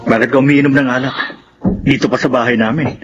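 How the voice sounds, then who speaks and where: A man's voice: medium pitch, fairly even intonation, quick pace, close to an everyday voice. A man playing a concerned young cousin in a Filipino radio drama, questioning, with a Filipino accent. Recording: dull sound, loud music behind.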